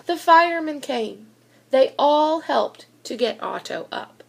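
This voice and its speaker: Woman reading a children's story, high and lively. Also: takes it slowly, gravelly. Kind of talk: expressive read-aloud story narration for children.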